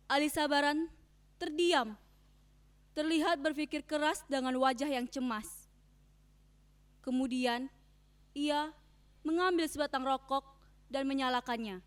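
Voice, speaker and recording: A woman narrator reading scripted stage directions in a dramatic reading. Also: sits high. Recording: guitar music behind the voice.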